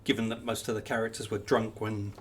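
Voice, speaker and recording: A man's voice: fairly low pitch, little pitch movement, a little fast. Male interviewer with noise behind him. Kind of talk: conversational literary interview questions.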